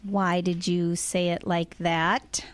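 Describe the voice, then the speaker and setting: A woman's voice: lowish voice, level tone, takes it slowly, smooth, no room sound. A woman playing a practical, exasperated single mother with a weary, sarcastic manner in an audio drama. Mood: weary, sceptical.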